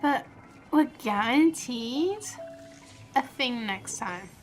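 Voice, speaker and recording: Slow-paced woman gamer, chatting casually. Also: on the high side, lively intonation, soft background music. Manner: informal, chatty, thinking aloud. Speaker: game streamer. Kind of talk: casual live gaming stream commentary.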